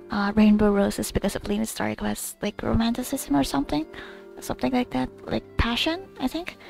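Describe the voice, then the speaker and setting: A woman's voice: a little high, vocal fry, a little mellow. A woman gamer giving casual, giggly commentary on a story game, reading bits aloud as she reacts. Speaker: gamer recording a story quest playthrough. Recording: game music behind the voice.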